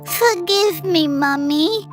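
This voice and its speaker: A woman's voice: very high-pitched, slow pace. A woman playing a lazy, crafty young daughter-in-law in a cartoon moral story. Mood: sly, submissive. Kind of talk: animated moral story dialogue. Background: music.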